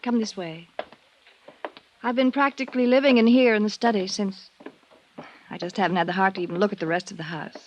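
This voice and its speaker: An actress playing a guarded, genteel widow with an American accent in a 1950s radio drama, speaking in a hesitant, defensive way. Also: female voice, mid-range voice, fairly quick, long pauses, a little hiss or hum, close to an everyday voice, low-quality recording. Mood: subdued grief. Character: guarded, brittle young-sounding widow, defensive yet genteel.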